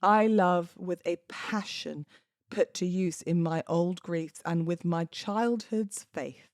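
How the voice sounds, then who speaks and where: Low-pitched British woman, very dry close sound. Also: takes it slowly, a little mellow, dry recording. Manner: chatty, informal, giggly. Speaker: podcast host. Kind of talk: casual podcast chat with dramatic poetry readings.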